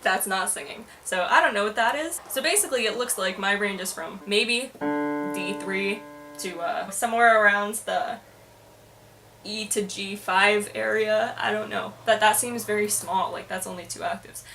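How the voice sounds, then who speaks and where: A woman's voice: mid-range voice, normal speed, a bit bright, soft background music. Hesitant young woman, distant-mic vlog. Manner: hesitant, self-deprecating, informal. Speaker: vlogger. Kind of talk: casual confessional talk to camera.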